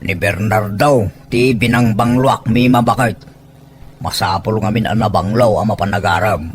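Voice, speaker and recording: A man's voice: lowish voice, steady tone, normal speed, a little hiss or hum, lightly acted. An actor playing a teasing, bossy older village man who coaxes like a matchmaker in a rural audio drama. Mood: confident.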